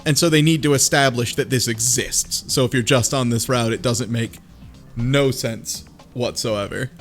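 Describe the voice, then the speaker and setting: A man of middle pitch chats loosely, with jokes and swearing. Faint music plays in the background. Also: moderate pace. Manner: casual, profane, joking. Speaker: podcast co-host. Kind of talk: casual chat recapping a visual novel.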